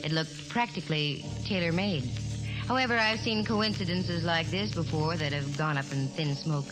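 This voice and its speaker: A woman's voice: low-pitched, moderate pace, natural voice, poor audio. Wry, confident female gumshoe played by an actress, slangy vintage radio drama, old recording with music behind. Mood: wry narration. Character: wise-cracking, confident female private eye narrating her case. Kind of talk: 1940s radio detective acting and narration.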